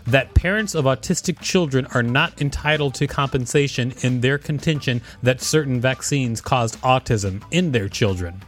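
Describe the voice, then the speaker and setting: A man's voice: medium pitch, normal speed, hardly any pauses, soft background music. A man hosting and reading an encyclopedia article aloud in a scripted narration.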